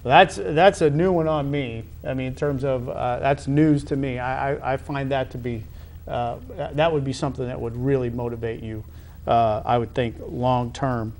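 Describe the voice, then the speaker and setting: A middle-aged American man conducting a conversational sports interview, halting and wordy, often repeating phrases. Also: medium pitch, steady tone, darkish tone, a little hiss or hum. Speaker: interviewer, high school athletic director.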